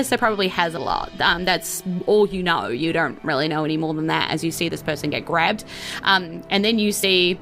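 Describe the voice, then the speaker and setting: Woman, New Zealand accent, bright forceful voice, game master narration, casual improvising, faint music underneath. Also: fairly low pitch, creaky. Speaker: dungeon master of a tabletop game podcast.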